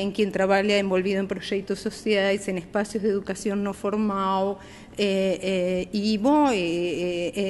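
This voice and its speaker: A woman from Argentina, her Portuguese carrying an Argentine Spanish accent, speaks at a moderate, middle pitch. She pauses often and searches for her words as she answers an interviewer. Faint noise runs behind her. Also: a little mellow. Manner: hesitant, searching for words with many fillers. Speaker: teacher, group facilitator.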